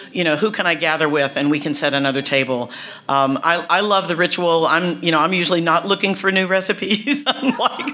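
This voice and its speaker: Bright-voiced chatty woman. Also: lowish voice. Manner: chatty, warm, restarts and laughs often. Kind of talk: casual talk to an audience.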